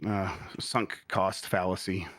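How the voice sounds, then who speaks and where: Easygoing forty-year-old man, low and dry. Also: little pitch movement, slow pace, a bit bright, no room sound. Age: 40s. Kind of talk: casual chat.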